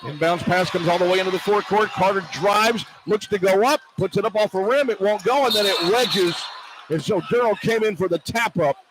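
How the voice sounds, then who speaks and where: A man's voice: high voice, brisk. A man calling live basketball play-by-play on the radio in clipped, running descriptions of the action. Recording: noisy live arena broadcast. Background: arena crowd ambience.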